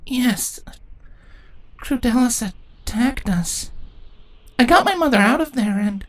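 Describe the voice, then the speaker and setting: A man's voice: very high-pitched, animated, slow, voice pushed up, heavily acted. A man voicing a young pony princess, headstrong and dutiful, with an earnest, urgent way of talking in a story reading. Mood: groggy, confused.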